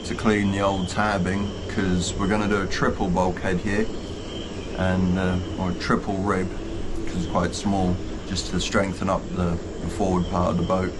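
A man's voice: low-pitched, flat delivery, normal speed. A middle-aged man vlogging casually from inside a boat cabin under repair, filmed close on a handheld camera. He talks in a practical, rambling way and pokes fun at his own waffling. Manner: rambling, self-deprecating, practical explaining. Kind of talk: casual vlog chat. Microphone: handheld wide-angle camera close up. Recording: noisy boat workshop ambience.

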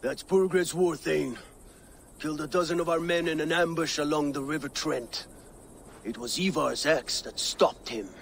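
A gravelly Viking leader. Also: male voice, fairly high pitch, normal speed, fairly bright tone, slight background noise. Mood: resolute. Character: steady veteran Viking leader, man.

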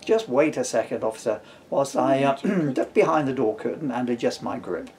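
A man's voice: mid-range voice, expressive, moderate pace, a little mellow, a little hiss or hum, natural voice. A man voicing an eager, easily flustered, bumbling young hero from a comic storybook, with a hesitant manner.

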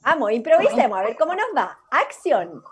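A woman's voice: high voice, very animated, normal speed, breathy edge. A woman leading an improvised radio play workshop on a video call, instructive and encouraging. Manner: encouraging, instructive, acts out example lines. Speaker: workshop leader. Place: indoors at home, on a video call.

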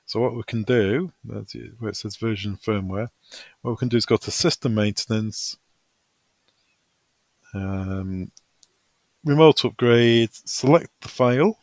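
A man working as a technical trainer, talking through a software tutorial step by step in an informal, hesitant way, as if thinking aloud. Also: fairly low pitch, a little slow, pauses often, no echo.

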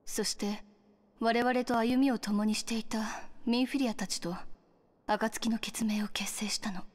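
A woman's voice: a little high, fairly even intonation, a little slow, natural voice. A woman voicing a poised scholar in a Japanese video game, composed and formal. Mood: grave.

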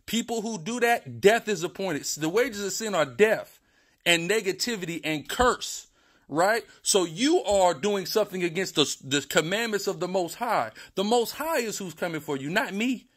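Male, high-pitched; argumentative phone call, lecturing and insistent delivery. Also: expressive, moderate pace, a bit bright. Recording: leaked phone call audio.

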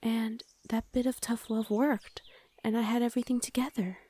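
A woman playing a soft-spoken caring big sister. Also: fairly high pitch, little pitch movement, moderate pace, natural voice. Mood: reassuring. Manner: soft, half-whispered, reassuring. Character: gentle caring older sister, young adult woman, student. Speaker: voice actor. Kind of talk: ASMR roleplay.

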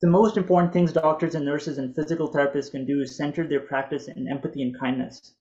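A man in his thirties whose first language is Urdu, reading a prepared talk in a measured, earnest way over a video call from a home office. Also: fairly high pitch, fairly even intonation, warm tone, lo-fi sound. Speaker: physician presenter.

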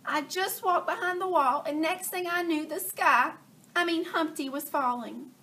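A woman voicing an anxious, fussy hen, with a high, excitable, flustered manner. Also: moderate pace. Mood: nervous. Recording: distant from the mic.

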